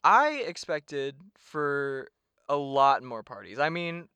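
Young male podcast co-host, bright and smooth, chatty and casually profane. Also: mid-range voice, big pitch swings, takes it slowly. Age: young adult. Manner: chatty, laughs often, swears casually. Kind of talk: casual chat. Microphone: sits some way back from the mic.

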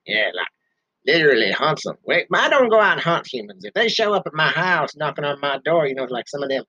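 Man voicing a bear podcast host: rambling, ungrammatical, angry ranter; somewhat high, dull lo-fi sound. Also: big pitch swings, fairly quick, pushed and bright, dry recording, full character voice. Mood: ranting. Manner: rambling hick grammar, angry ranting. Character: gruff grumbling male bear podcaster, ranting.

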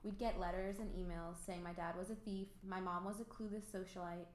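A woman's voice: lowish voice, fairly even intonation, fairly quick, slight background noise, lightly acted. A woman playing a bold, candid, rich teenage girl in an amateur radio play, with a theatrical, quippy delivery. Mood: confessional, regretful. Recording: roomy home recording.

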